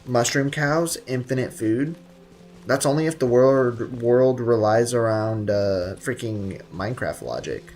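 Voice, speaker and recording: A man's voice: mid-range voice, a little slow, darkish tone, faint music behind. A man live streaming a video game, chatting casually with viewers in a slangy, joking, rambling way.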